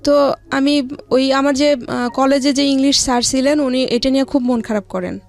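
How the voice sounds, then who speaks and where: High voiced woman telling her life story, bit hesitant, creaky voice, faint music behind. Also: steady tone, normal speed, darkish tone. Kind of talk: personal life-story narration.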